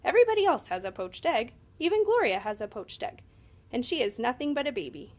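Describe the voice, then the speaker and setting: A woman's voice: on the high side, big pitch swings, brisk. Woman voicing a fussy singsong little storybook girl. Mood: curious, insistent. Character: fussy, curious young girl badger, singsong and stubborn.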